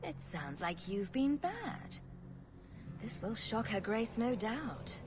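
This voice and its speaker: A woman's voice: a little high, sing-song, slow. An actress playing a bold, sarcastic female rogue adventurer in a video game, with a teasing, challenging manner. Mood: sardonic, challenging. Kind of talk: video game cutscene acting. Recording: clear background ambient noise.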